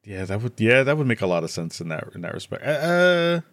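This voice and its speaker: A man's voice: on the low side, sing-song, fairly quick, a bit bright, no echo, studio quality. A man speaking casually as a guest in a podcast discussion.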